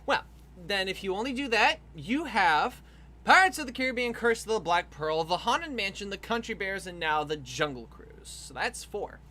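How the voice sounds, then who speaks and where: Bright-voiced rambling stream host. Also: medium pitch, sing-song, normal speed. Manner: rambling, laughing, swears freely. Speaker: livestream host. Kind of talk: casual livestream chat while animating.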